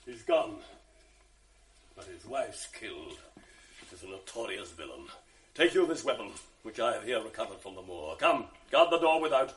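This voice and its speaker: A man's voice: on the low side, big pitch swings, normal speed, fairly bright tone, low-quality recording. An actor playing a grave nobleman who gives firm orders in a 1980s radio drama. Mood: commanding.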